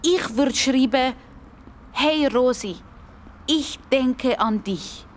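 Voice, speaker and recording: A woman's voice: high-pitched, extremely slow, voice pushed up. A woman voicing an earnest, shy young boy in a children's story. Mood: curious, tender.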